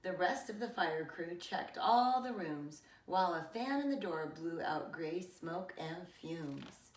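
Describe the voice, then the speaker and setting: A middle-aged woman reading a children's picture book aloud in an expressive, deliberate way with dramatic emphasis, like a preschool teacher. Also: fairly low pitch, far from the mic. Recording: roomy echo, away from the mic.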